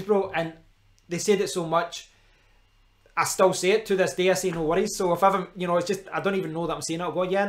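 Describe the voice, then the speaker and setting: A young man with a strong Glaswegian Scottish accent, talking casually from his kitchen. His voice sits a little high, and he rambles with many restarts and asides to his viewers. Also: a bit bright. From Scotland. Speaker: live streamer. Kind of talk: casual rambling live stream chat. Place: kitchen at home.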